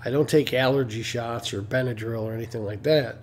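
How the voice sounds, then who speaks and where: A man's voice: mid-range voice, a little fast. A middle-aged man from Detroit, congested, chatting casually and rambling as a livestream host, with lots of fillers and restarts. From Detroit, Michigan.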